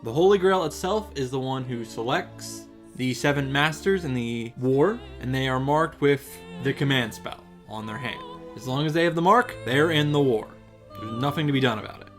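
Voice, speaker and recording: A man's voice: mid-range voice, expressive, normal speed. A man hosting a visual novel recap, giving casual summary commentary with wry showman asides. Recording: music playing behind the voice.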